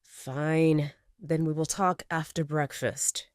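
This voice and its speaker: A reluctant, grumbling male alpha werewolf from a romance audio story, voiced by a woman narrator. He speaks in a low, curt tone. The sound is close and dry. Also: steady tone, slow pace, a little mellow, no echo.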